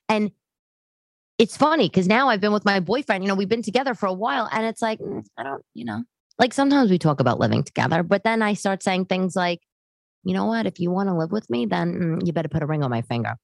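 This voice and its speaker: Chatty woman in her late thirties, lowish voice. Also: no echo. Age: late 30s. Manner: animated, conversational, acts out others' remarks. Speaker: podcast host. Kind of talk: casual, chatty monologue to listeners.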